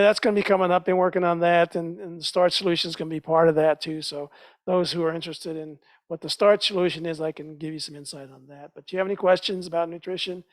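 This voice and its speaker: A man's voice: a little high, no echo. An older man giving a casual announcement about his nutrition classes in a home kitchen, speaking loosely with fillers. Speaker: nutrition educator.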